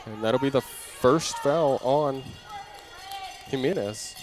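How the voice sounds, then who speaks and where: A man's voice: medium pitch, slow pace. A man calling live basketball play-by-play for an audio broadcast in short, clipped phrases, raising his voice with the action. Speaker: play-by-play announcer. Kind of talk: live basketball radio commentary. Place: indoor basketball gym during game. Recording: noisy gym ambience, bouncing balls.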